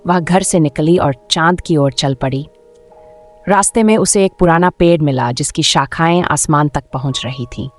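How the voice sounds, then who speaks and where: Storybook narrator with music behind. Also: medium pitch. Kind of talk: scripted children's storybook narration.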